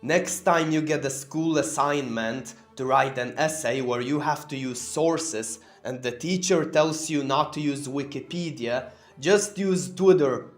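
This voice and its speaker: A man's voice: mid-range voice, measured pace, few pauses. A man hosting a commentary channel, narrating in a sarcastic, scornful way. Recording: roomy room, faint music behind.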